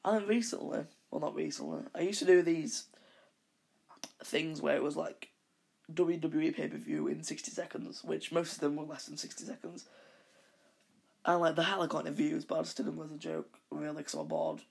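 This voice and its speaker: A man hosting a casual podcast who rambles through his monologue. His voice is croaky, and he keeps correcting himself. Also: fairly high pitch, moderate pace. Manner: rambling, with frequent self-corrections. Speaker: podcast host.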